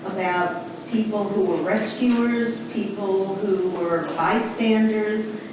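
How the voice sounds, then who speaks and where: A woman teacher introducing an evening program to seventh graders in a measured, firm way. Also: mid-range voice, fairly even intonation, background noise. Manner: measured, firm classroom address. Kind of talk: addressing students. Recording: distant voice in an echoey hall.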